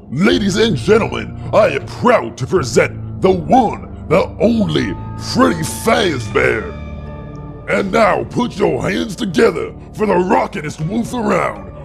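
A man's voice: high-pitched, creaky, full character voice. A man voicing a flamboyant, boastful alligator rock star, hyping the crowd like a bombastic stage announcer. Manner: bombastic, self-aggrandizing.

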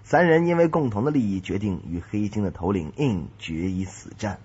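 A man with a husky voice reading a dramatic scripted preview as an announcer. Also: on the low side, a little fast, darkish tone. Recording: dull, muffled audio.

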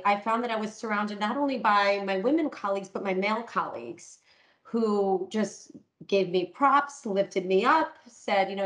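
A middle-aged woman leads a panel discussion on a call. Her voice sits at an ordinary middle pitch, and her manner is encouraging and conversational. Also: rough recording. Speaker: podcast host and panel lead.

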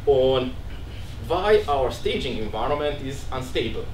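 A man's voice: mid-range voice, big pitch swings, measured pace. Hungarian-accented man in his thirties, casual meetup speaker, self-deprecating; recorded far off in an echoey room. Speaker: conference speaker. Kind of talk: tech meetup talk. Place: large office room with a seated audience. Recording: roomy, distant, faint background noise.

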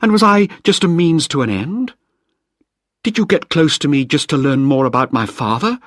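A man voicing a distressed young woman in an audiobook, high and pleading, frightened yet defiant. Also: wildly swooping pitch, measured pace, breathy edge, no echo, clean studio sound, full character voice. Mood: angry. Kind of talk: audiobook character voice.

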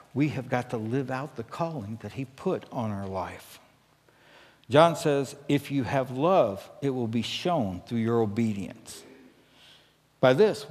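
A middle-aged American man delivers a conversational sermon from a church stage. His voice sits at an average pitch, and he speaks earnestly and with emphasis. Long reverb from the hall trails his words. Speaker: pastor. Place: church stage at a pulpit, indoors.

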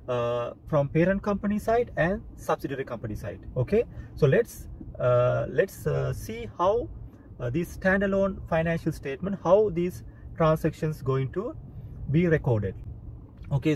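Halting male tutor, noisy recording. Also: darkish tone. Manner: halting, repetitive, step-by-step. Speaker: accounting tutor. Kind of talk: informal explanatory lesson. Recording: noisy car interior with road rumble.

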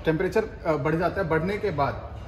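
A man's voice: fairly high pitch, normal speed. A young man explaining oven panels in a casual demo, repeating himself and restarting phrases often. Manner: explains step by step with many repetitions and restarts. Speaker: bakery equipment seller. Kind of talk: casual product demonstration. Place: workshop with ovens, indoors. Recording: echoey room, faint noise.

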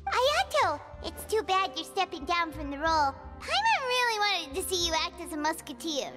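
Game mascot: tiny cute fairy, extremely high squeaky voice, lively swoops, music behind. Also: female voice, normal speed. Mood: disappointed. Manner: squeaky, refers to self in third person. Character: tiny childlike fairy companion, perky and cute. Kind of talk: video game voice acting.

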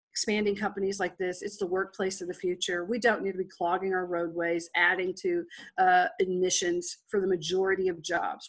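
A woman in her forties, a business guest speaking frankly and at length over a video call on a headset. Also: mid-range voice. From Texas. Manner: frank and rambling, with restarts.

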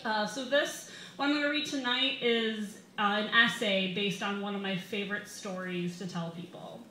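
A young American woman reading a comic personal essay to a live audience, with wry, deliberate emphasis. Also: medium pitch, fairly even intonation, sharp tone. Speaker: stand-up comedian reading an essay. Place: small brick-walled bar, indoors. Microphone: stand microphone, camera recording. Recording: roomy live bar recording, some background noise.

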